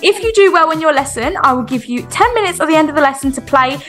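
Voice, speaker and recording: High, bright-voiced woman playing a swim coach. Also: expressive, fast, soft background music. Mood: instructive. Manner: instructive, reassuring, polite. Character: encouraging, rule-minded female children's swimming coach. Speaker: swimming coach in a game roleplay. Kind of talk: improvised online game roleplay.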